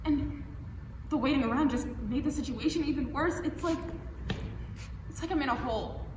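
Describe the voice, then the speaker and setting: A woman's voice: high voice, moderate pace, lightly acted. A young woman acting in a student stage play as an exasperated, guilt-ridden girlfriend who rants and swears. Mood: anguished ranting. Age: young adult. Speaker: student actor. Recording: echoey hall, distant mic, noisy.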